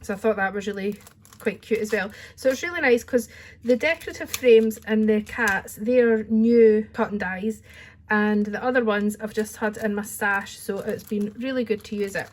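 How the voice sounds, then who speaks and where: A woman's voice: mid-range voice, moderate pace, a little hiss or hum. A Scottish woman chatting casually through a card-making tutorial at her craft desk, with a friendly, rambling manner. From Scotland. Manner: chatty, friendly, says "wee" often.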